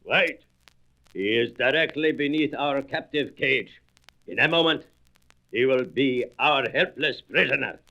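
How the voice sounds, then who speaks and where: An actor playing an arrogant, boastful alien invader with deliberate speech in a comic book audio drama. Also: male voice, medium pitch, gravelly, bright, forceful tone. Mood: puzzled, alert. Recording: dull old record with faint noise, clicks.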